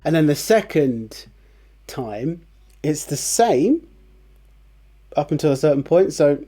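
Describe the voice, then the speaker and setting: A man hosting a casual guitar tutorial, chatty and relaxed, with fillers and restarts, who says his voice is on the low side. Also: takes it slowly. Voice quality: lower voice, by his own account. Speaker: guitar teacher, channel host.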